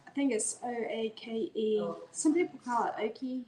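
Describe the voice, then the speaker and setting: A woman's voice: a little high, normal speed. A woman from North Carolina with a Southern accent, an author chatting casually as an interview guest. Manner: relaxed, chatty, with fillers and restarts. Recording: echoey room.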